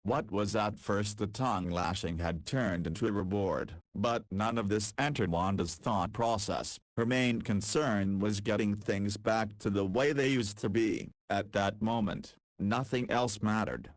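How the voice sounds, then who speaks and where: A man narrating a visual novel in an even, measured storytelling manner. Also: lowish voice, dry recording.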